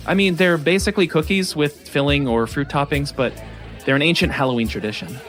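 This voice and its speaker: A man's voice: fairly high pitch, fairly quick, a bit bright, faint music behind. A flustered, awkward young man. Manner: hesitant, flustered, filler-heavy. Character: shy, awkward young man at a costume party.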